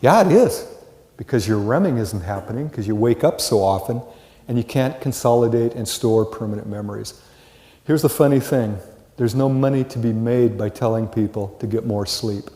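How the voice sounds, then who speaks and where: A reverberant hall carries the voice of an older American man lecturing to students. He speaks at a middling pitch, with a storyteller's delivery and plenty of rhetorical questions thrown out to the class. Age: 60s or older. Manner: animated storytelling, rhetorical questions, acts out brief dialogues. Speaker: college psychology lecturer.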